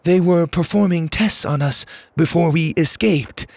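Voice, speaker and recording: A man's voice: a little high, a little slow, dry recording, pitched above the performer's own voice. A man voicing a desperate young girl who solemnly pretends to be an alien, with clipped, pleading speech.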